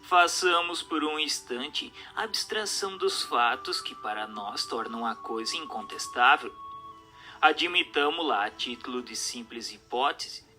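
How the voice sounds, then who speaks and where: A man's voice: mid-range voice, animated, unhurried, sharp tone, faint music behind. A man reading a doctrinal text as dramatic, formal, declamatory scripted narration. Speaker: narrator of an audiobook radio drama.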